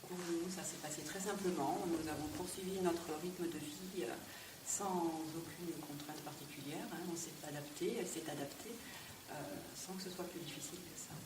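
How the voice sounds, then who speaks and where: Host mother, woman, lowish voice, calm and reassuring, echoey and distant. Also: normal speed. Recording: distant mic, echoey room, noise.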